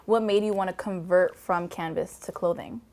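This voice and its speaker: A woman's voice: lowish voice, steady tone, moderate pace, darkish tone. Upbeat New woman hosting. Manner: upbeat, lots of laughs and encouraging backchannels. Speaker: host. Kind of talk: casual interview.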